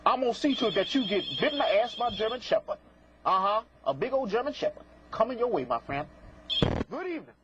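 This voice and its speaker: A high-voiced man hosts a live call-in show with swooping, theatrical delivery. He is sassy and scolding, talking in folksy colloquial phrases he likes to repeat. The recording is muffled and noisy. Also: very animated, quick pace. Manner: folksy colloquial speech with double negatives. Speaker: host of a live phone-in psychic show. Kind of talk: live call-in TV talk with prank callers. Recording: muffled lo-fi broadcast with clear noise, clicks.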